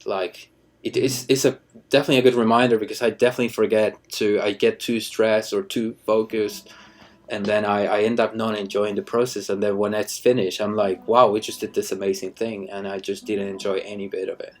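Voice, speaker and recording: Low, flat-voiced man in his thirties. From Ecuador. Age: 30s. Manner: halting, many restarts and fillers, relaxed. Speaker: cinematographer guest. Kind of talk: casual interview chat.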